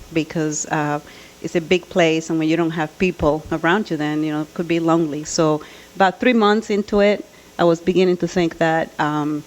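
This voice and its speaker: A middle-aged woman from the Dominican Republic, a native Spanish speaker with a Dominican Spanish accent, addressing an audience in a measured, earnest way with deliberate pauses. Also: sits low, darkish tone, dull audio. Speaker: college administrator and job candidate. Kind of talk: public address and candidate question-and-answer.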